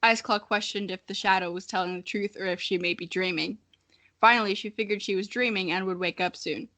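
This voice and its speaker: A young woman podcast host with a bright, forward voice, recorded very dry and close. She narrates a childhood fantasy story with drama and slips into amused, self-mocking casual remarks. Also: medium pitch, steady tone, brisk, dry recording.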